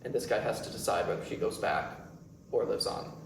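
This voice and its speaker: A man's voice: on the low side, expressive, a little fast, vocal fry. An eighteen-year-old man talking casually as a playwright and director at a question session in a performance space. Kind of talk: casual post-show talk. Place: indoor performance space, recorded from afar. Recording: echoey room, distant mic, faint noise.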